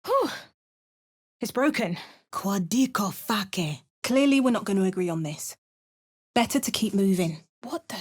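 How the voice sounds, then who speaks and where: A woman's voice: medium pitch, animated, a little slow, long pauses, husky. A woman voicing a wry, battle-hardened adult adventurer who makes dry, sardonic asides and narrates her journey. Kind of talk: video game character barks and narration.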